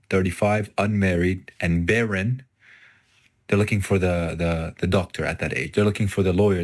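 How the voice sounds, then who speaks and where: A man's voice: fairly low pitch, a little mellow. A man giving a stern, scolding lecture in a preaching style.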